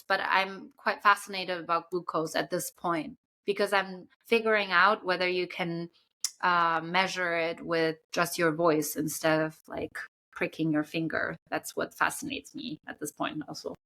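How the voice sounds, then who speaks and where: A young woman with a bright, forward voice talks through ideas in a relaxed podcast chat. She explains at length, pausing often with ums while she finds her words. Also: fairly low pitch. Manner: thoughtful, explains at length with many fillers. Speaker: podcast co-host. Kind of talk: casual chat.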